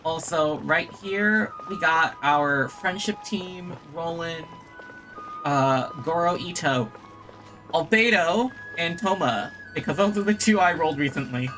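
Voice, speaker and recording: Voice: medium pitch, measured pace, pushed and bright, faint music behind, low-quality recording. A streamer chatting casually and enthusiastically to viewers while playing a game, in a rambling way. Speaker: streamer and host. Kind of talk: casual livestream gaming chat.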